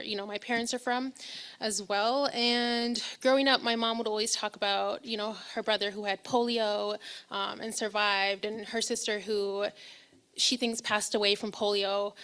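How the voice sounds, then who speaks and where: A young woman researcher from Ethiopia speaks on a public health panel. Her voice sits fairly high. She talks earnestly, with frequent fillers and self-corrections. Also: bright, forceful tone. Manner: earnest, many fillers and restarts. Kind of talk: panel talk.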